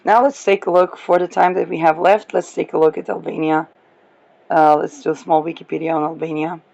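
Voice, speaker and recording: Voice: medium pitch, level tone, fairly quick, vocal fry, a little mellow, dull audio. A person giving a casual, rambling astrology talk, chuckling often between remarks. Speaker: astrology reader.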